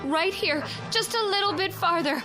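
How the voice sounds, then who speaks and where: A distraught mother in a radio play. Her very high voice swoops with pleading panic above clear background music. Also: animated, normal speed. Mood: anxious coaxing. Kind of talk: radio drama acting.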